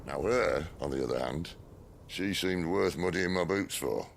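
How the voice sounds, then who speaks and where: A man playing a wry, self-assured middle-aged outlaw leader in a video game, with a British accent and a gravelly voice. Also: low voice, a little hiss or hum. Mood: sly, teasing. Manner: wry, unhurried teasing. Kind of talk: video game cutscene acting.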